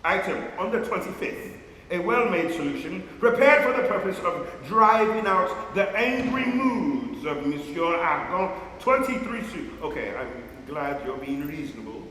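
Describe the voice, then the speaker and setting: An actor playing a fussy, irascible, self-pitying hypochondriac master, pompous and querulous, in a theatrical comedy style. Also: male voice, high-pitched, very animated, unhurried, bright, forceful tone, full character voice. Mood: fussy reckoning. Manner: pompous, querulous, exclamatory scolding. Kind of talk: theatrical comedy stage acting. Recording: echoey hall, distant, faint noise.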